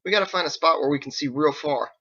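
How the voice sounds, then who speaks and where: A man's voice: a little high, fast talker, bright, forceful tone, husky, lo-fi sound, pitched above the performer's own voice. A man voicing a laid-back, boastful young sailor in an audiobook, talking in casual slang. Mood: eager, casual. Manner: slangy, folksy, informal. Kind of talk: audiobook character acting.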